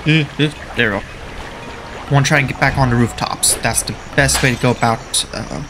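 A man's voice: mid-range voice, fairly quick, noisy background. Nasal man streaming a game. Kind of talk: casual let's play commentary. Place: small room indoors. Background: game music and sounds.

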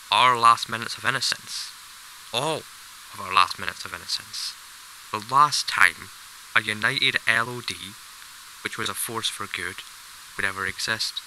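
Sci-fi audio drama: regretful old-soldier type played by a man, deliberate and solemn, forceful edge, faint hiss. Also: fairly low pitch, rough recording. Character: weary regretful male veteran recalling the colony landings. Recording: hissy recorded message.

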